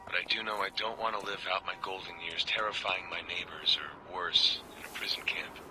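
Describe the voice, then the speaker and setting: A male actor plays a world-weary, middle-aged agent turned secret informant in a video game audio log. His voice is deep and he speaks in a brooding, blunt, confessional way, dropping to a hush at phrase ends. Music plays behind him. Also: fairly even intonation, brisk, close to an everyday voice, low-quality recording. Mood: grim, resigned.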